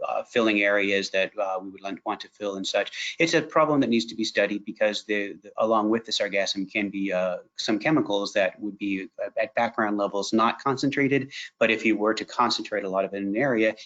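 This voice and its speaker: A man, a scientist answering audience questions on a video call, speaking in a measured, hesitant way with many uhs. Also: lowish voice, a bit bright. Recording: dull lo-fi video call audio.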